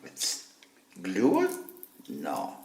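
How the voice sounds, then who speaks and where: A man hosting a gadget teardown, giving a casual, unscripted demonstration and thinking aloud in halting, short phrases. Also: low-pitched, huge pitch swings, very noisy. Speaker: host of a gadget teardown video.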